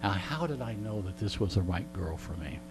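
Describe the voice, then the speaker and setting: Wry middle-aged storyteller over a hum. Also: male voice, sits low, expressive, brisk. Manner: wry, joking, digressive. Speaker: interview guest and storyteller. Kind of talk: casual anecdotal storytelling. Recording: clear background mains hum.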